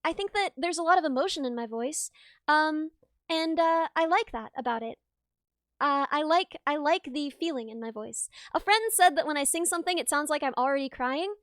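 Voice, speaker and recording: A young woman streaming live, chatting casually with viewers in a rambling, laughing way, her voice a little raw and rough. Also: sits high, normal speed, dry recording, clean studio sound. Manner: rambling, chatty, laughs often, reads chat aloud.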